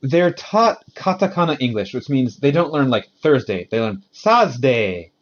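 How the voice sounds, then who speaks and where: A man who teaches English in Japan, chatting casually on a podcast and explaining with animated frustration. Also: medium pitch, measured pace, highs cut off.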